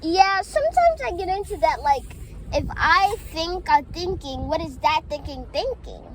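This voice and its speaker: A woman reading playful rhymes and tongue twisters aloud in a halting way, often stumbling over words. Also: very high-pitched, animated, rough recording. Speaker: reader of children's poems.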